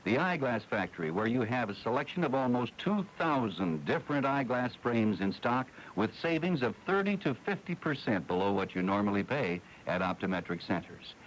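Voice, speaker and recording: A man's voice: mid-range voice, big pitch swings, normal speed, hardly any pauses, rough recording. An American man reading a scripted local radio commercial as an announcer, on a hissy 1980s AM recording.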